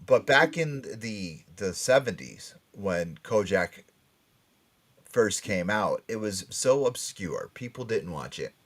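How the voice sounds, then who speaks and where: A man's voice: lowish voice, sing-song, slow, fairly bright tone. A middle-aged or older American man hosting a casual pop culture talk show, chatty and emphatic. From Norwalk, Connecticut, USA. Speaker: radio and podcast show host.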